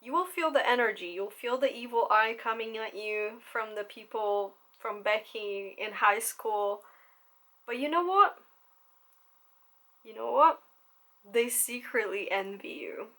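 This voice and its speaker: A woman's voice: a little high, unhurried, fairly bright tone. A young woman talking candidly to camera as a content creator, swearing casually and laughing often.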